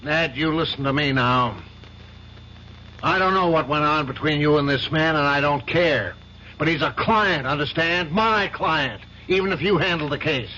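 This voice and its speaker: A domineering law firm boss in an old radio play, with a fairly high, sharp, forceful voice. He scolds and blusters indignantly. The broadcast is dull and a bit noisy. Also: normal speed. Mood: angry, commanding. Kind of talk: 1970s radio drama acting.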